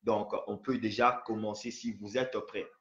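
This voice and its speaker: A man's voice: fairly low pitch, little pitch movement, moderate pace, fairly bright tone. Hesitant male host, lots of ums, roomy dull recording. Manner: hesitant, many fillers and restarts. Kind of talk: phone interview show.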